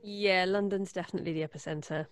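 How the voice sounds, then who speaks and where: A woman's voice: lowish voice, fairly even intonation, quick pace, dry recording. A woman with an English accent chatting casually on a video call. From England. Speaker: voice actor, podcast cast member. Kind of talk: casual chat.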